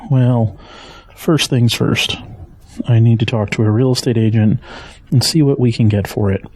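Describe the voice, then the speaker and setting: An actor playing a gentle, worried middle-aged father in an audio drama, speaking in a measured, earnest way with a husky voice. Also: medium pitch, fairly even intonation, dry recording, close to an everyday voice. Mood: worried, weary.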